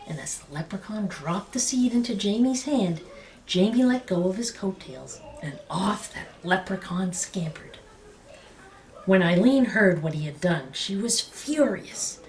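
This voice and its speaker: A woman narrates a children's story in a middling register with very lively intonation. She stresses key words dramatically, as a storyteller reading aloud. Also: mid-range voice, unhurried. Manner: dramatic, emphatic phrasing. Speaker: storyteller reading a picture book.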